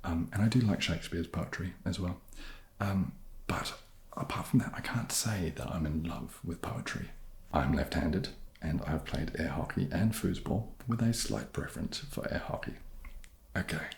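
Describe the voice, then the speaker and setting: A soft-spoken man casually answering viewer questions in a gentle, wry way. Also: sits low, moderate pace, gravelly. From New Zealand.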